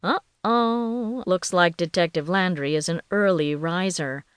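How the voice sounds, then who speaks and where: A woman voicing a calm, supportive woman friend, playful and reassuring, in audiobook dialogue. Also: fairly low pitch, a little slow, clear, resonant tone, no room sound, studio quality, lightly acted.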